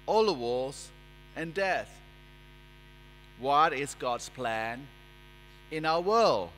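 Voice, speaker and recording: A man in his forties preaching a sermon at a lectern in a church, speaking in a measured, emphatic way and gesturing as he talks. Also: fairly high pitch, pushed and bright. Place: church sanctuary, indoors. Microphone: lectern microphone. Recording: reverberant church hall with mains hum.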